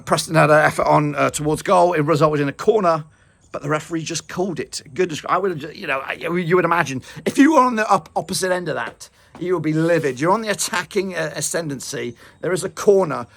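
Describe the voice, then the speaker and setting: A man with a gravelly, slightly raised voice rambles excitedly through a football match reaction, full of fillers and restarts. His voice is wearing thin. The sound is close and dry, with no room around it. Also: on the high side, dry recording. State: losing his voice. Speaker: football fan vlogger. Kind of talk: casual match reaction to camera.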